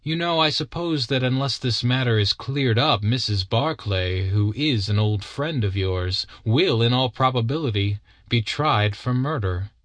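A man voicing a confident, composed detective who speaks in a crisp, assured way. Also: fairly bright tone, no room sound, close to an everyday voice. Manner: crisp, assured, quicker and higher than the narration. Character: brisk, confident male detective, sharp and composed. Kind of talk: audiobook character reading.

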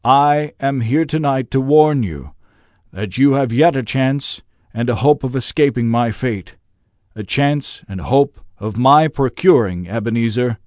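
A man voicing a mournful male ghost in chains who speaks gravely and declaims his warnings. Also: mid-range voice, a little slow, a little mellow, no room sound, lightly acted.

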